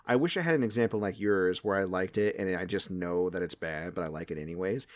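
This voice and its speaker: A man's voice: low voice, quick pace, dry recording. A man in his late thirties chatting casually about movies as a podcast co-host. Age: late 30s.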